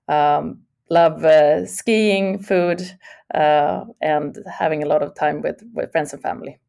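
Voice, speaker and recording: A woman's voice: low-pitched, fairly even intonation, slow, gravelly, mellow. A middle-aged woman from Sweden, a podcast guest talking thoughtfully with many fillers and restarts. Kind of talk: podcast interview.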